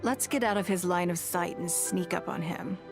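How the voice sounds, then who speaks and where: Lowish female voice, poised noblewoman, commanding, calculating, elegant, composed, video game dialogue, faint music. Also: moderate pace, creaky.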